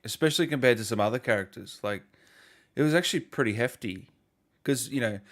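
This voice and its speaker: A man's voice: mid-range voice, fairly quick, fairly bright tone. A man hosting a gaming interview in an easygoing way, saying "I reckon" and asking follow-ups. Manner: easygoing, says "I reckon", asks follow-up questions. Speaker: gaming channel host. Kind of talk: casual interview chat.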